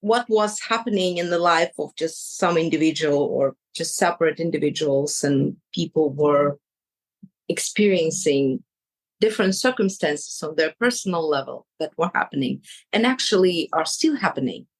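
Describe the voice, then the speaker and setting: A woman with a Ukrainian accent, a coach speaking in an interview, choosing her words slowly with many pauses. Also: deep voice, lively intonation, poor audio. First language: Ukrainian or Russian. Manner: slow and searching, pausing between words. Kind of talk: reflective interview answers.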